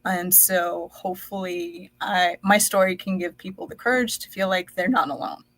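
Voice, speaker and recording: A woman born in the United States whose first language was Dutch, reflectively telling her life story as a guest, with many pauses and fillers. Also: lowish voice, steady tone, a bit bright, no room sound, rough recording.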